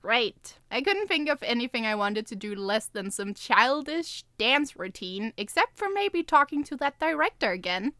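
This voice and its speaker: A woman's voice: on the high side, sing-song, moderate pace, few pauses, bright, forceful tone, no room sound, studio quality. A woman co-hosting a podcast, with a playful manner, in casual chat and story reading. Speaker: podcast co-host and co-writer.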